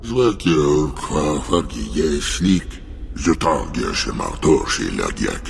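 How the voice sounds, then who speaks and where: Very deep gravelly alien cave creature. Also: male voice, sing-song, slow, heavily acted. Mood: grumbling, agitated. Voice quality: gravelly, guttural. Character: male alien cave creature, exiled, hungry, speaking alien gibberish. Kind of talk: video game character acting in an invented alien tongue. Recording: cavernous reverb, noisy game capture.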